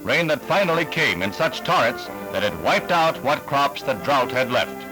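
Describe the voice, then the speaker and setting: A man's voice: medium pitch, expressive, moderate pace, poor audio. An American man reading scripted 1960s newsreel narration in an emphatic, formal announcer delivery, on a vintage soundtrack. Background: music under the voice.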